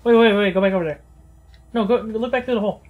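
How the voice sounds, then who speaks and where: A man co-hosting a let's play with casual, excitable, jokey and sweary commentary. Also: high voice, fast talker. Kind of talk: casual video game commentary. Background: horror game sound.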